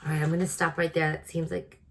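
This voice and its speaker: A woman's voice: low-pitched, little pitch movement, fast, gravelly. A woman reading a children's story aloud, adding informal, chatty asides to her listeners.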